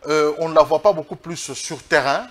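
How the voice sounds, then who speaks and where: Higher-voiced Congolese man arguing emphatically. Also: normal speed. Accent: Congolese French. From Democratic Republic of the Congo. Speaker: political guest, lawyer. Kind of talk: political interview, spoken argument.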